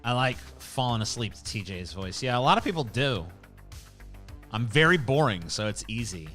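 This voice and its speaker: A man's voice: medium pitch, very animated, moderate pace, fairly bright tone, soft background music. A man hosting a livestream, reading chat comments aloud in a rambling, profane, casual way. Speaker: livestream host.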